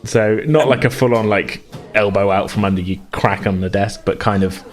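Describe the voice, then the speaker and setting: A man's voice: lowish voice, moderate pace, soft background music. A man narrating casually as game master, improvising in an informal, hedging way.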